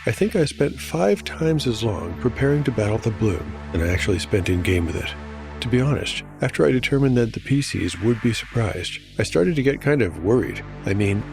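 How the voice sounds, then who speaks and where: Low-voiced man narrating a solo tabletop game. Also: expressive, moderate pace, vocal fry. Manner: rolls dice aloud, reflective asides. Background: music.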